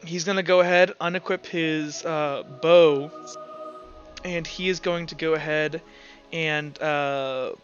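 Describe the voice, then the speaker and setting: A man's voice: fairly high pitch, steady tone, slow pace, highs cut off. A man working as game master, narrating and refereeing an online tabletop game in a casual, profane way. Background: music.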